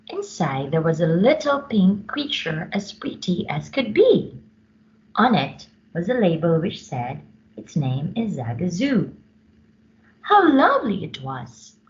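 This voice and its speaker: Slow, very animated woman reading a storybook. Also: lowish voice, lo-fi sound. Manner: expressive, dramatic emphasis. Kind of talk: children's read-aloud storytelling.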